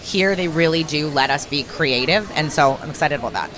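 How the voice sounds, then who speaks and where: A woman's voice: low voice, a little fast, a bit bright. A Canadian woman in her thirties with a light Canadian accent, a radio host and program director chatting casually and upbeat in an interview.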